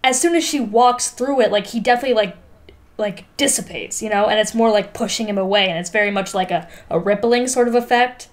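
A woman's voice: mid-range voice, fairly quick. A young woman co-hosting a podcast, chatting casually and emphatically about a film, with plenty of swearing and filler likes. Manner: informal, sweary, emphatic, frequent "like". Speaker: podcast co-host. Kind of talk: casual film discussion chat.

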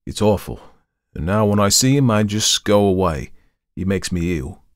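A man's voice: fairly low pitch, lively intonation, normal speed, dry recording, studio quality. A man voicing a young Cockney manservant and ex-soldier, deferential but indignant, in a colloquial storybook reading. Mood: horrified, confessing. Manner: colloquial, deferential, calls master sir.

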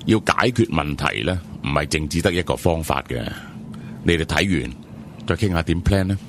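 An actor playing a calm, practical man giving advice with a Hong Kong Cantonese accent in a radio drama. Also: rumbling low, moderate pace, slight background noise, heavily acted. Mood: calm, advising. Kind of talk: measured radio drama dialogue.

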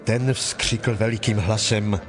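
A man narrating scripture from a script in a measured, formal manner. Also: lowish voice, steady tone.

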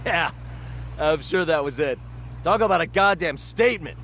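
An actor playing an excitable, friendly young gang sidekick in a video game, urgent and chummy. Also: male voice, on the high side, normal speed, a little hiss or hum. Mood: relieved, chummy. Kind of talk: video game action dialogue.

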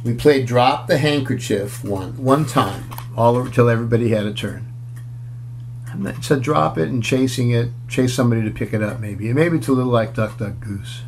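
A man in his sixties reading a picture book aloud to children, playful and expressive, with casual asides as he goes. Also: medium pitch, moderate pace, a little hiss or hum. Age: 60s. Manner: expressive, playful, inviting listeners along. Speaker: children's storyteller. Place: small room at home, indoors.

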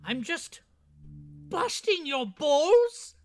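A woman playing a smug, taunting villain in a theatrical, drawn-out way at a comedy table read. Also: high voice, very animated, heavily acted. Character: smug taunting villain, an old rival of a cop. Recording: video call.

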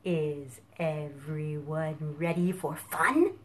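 A woman voicing silly, eager cows in a children's storybook, with drawn-out singsong phrases. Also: low-pitched, steady tone, noisy background, pitched below the performer's own voice. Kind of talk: animated children's storybook reading.

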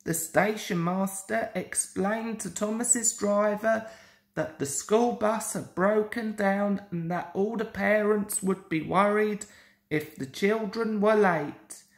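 A woman reading a children's story aloud in an expressive, deliberate way. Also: mid-range voice. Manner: slow, deliberate, animated delivery. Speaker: storybook reader.

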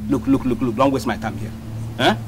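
An actor playing a bullying, mocking police officer, speaking with a Nigerian Pidgin accent. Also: male voice, medium pitch, sing-song, brisk, faint music behind. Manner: gruff, mocking, threatening. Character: bullying male police officer, middle-aged.

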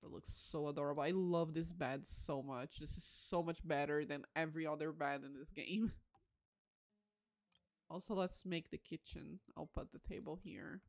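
A woman's voice: low voice, fairly even intonation, moderate pace, darkish tone. A woman livestream host giving casual game commentary, musing aloud with enthusiastic exclamations.

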